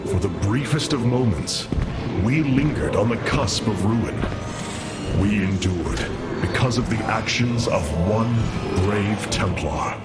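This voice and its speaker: An actor playing a noble alien leader who gives formal, commanding speeches. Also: male voice, on the low side, lively intonation, takes it slowly, loud music behind the voice. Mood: solemn.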